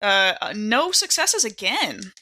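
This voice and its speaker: A woman's voice: medium pitch, very animated, slow, sharp tone. A woman playing a tabletop roleplaying game, chatting casually with wry, joking remarks. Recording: clearly noisy mic with clicks.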